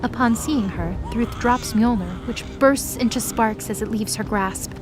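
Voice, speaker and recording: A woman's voice: fairly high pitch, measured pace. A woman reading scripted story narration for an audio drama.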